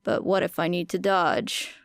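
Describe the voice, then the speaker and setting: A woman's voice: on the low side, unhurried, no room sound, clean studio sound. Bored, guarded teenage boy, read by a woman. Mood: bored, wary. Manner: flat, guarded, matter-of-fact. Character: bored, wary, defensive teenage boy training to be a hero. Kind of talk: audiobook character dialogue.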